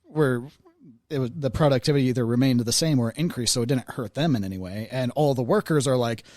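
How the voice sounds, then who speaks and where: A man's voice: medium pitch, fast talker, a little mellow, no echo, clean studio sound. An American man co-hosting a podcast, explaining things casually in conversation. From United States. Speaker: podcast co-host. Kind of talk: casual explanation in group chat.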